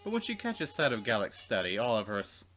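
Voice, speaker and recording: A man reading visual novel narration aloud as a let's play co-host, expressive and wry, with casual asides. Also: on the low side, quick pace, soft background music.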